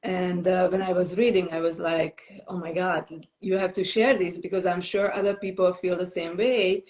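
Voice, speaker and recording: A woman's voice: fairly low pitch, steady tone, normal speed, a little mellow. A woman from Romania interviewing a contributor in a casual, enthusiastic chat, with frequent fillers. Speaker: interviewer, book compiler. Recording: echoey room.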